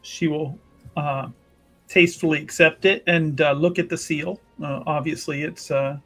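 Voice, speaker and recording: A man's voice: on the high side, steady tone, moderate pace, a bit bright, soft background music. A man playing a tabletop roleplaying game, improvising narration for his character in a casual, wry, conversational way.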